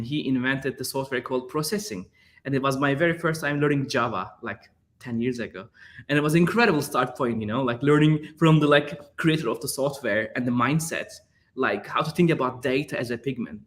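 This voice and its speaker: A man in his thirties from Turkey with a Turkish accent, answering interview questions in a searching way, with frequent fillers and repeated words. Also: medium pitch. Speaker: guest artist.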